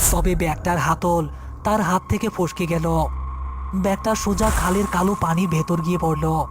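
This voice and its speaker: A narrator reading a scripted horror story in a storytelling style. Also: mid-range voice.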